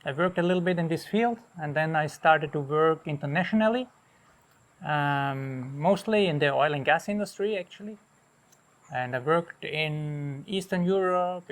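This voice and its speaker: A man's voice: on the high side, moderate pace. Austrian man on a jungle walk. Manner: relaxed, rambling, with many restarts. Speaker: hotel owner. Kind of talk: casual interview on a property tour. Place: outdoors on a jungle property.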